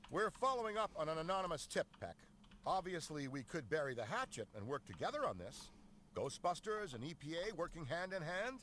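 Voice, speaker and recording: An eager, nerdy paranormal scientist, pitched a bit high and bouncing all over with enthusiasm. He is wordy, technical and proud of his expertise. Faint park ambience sits behind him. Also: very animated, fairly quick, fairly bright tone. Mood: wry, confident. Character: eager, nerdy male paranormal scientist, earnest and self-important. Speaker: game character, ghost hunter. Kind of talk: scripted game voice acting. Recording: game dialogue over park ambience noise.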